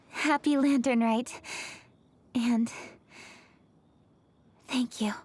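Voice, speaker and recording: An earnest, high-voiced young lady. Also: steady tone, darkish tone, slightly rough, heavily acted. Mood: gentle. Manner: polite, sincere, measured and articulate. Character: earnest, poised young woman of high office. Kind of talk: video game voice acting.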